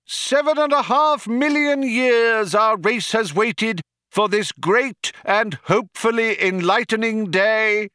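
A rapturous male orator from a storybook, proclaiming to a vast crowd. The voice is pitched very high and pushed loud, with grand, stretched-out phrases. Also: smooth, no echo, studio quality, heavily acted. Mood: exultant, proclaiming. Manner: grandiose, declamatory, drawn-out proclaiming. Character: rapturous male cheerleader addressing a huge crowd by tannoy. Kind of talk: theatrical audiobook character voice.

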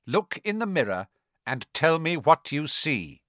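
A man voicing a nervous, impatient villain who serves a dark master, snapping urgently in an audiobook. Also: on the high side, very animated, measured pace, no echo, clean studio sound. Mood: commanding. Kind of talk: dramatic audiobook character voice.

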